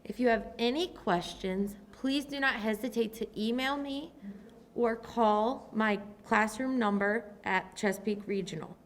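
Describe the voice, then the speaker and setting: A woman teacher closing with a brisk, friendly announcement about applying to the program. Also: a little high, slight background noise.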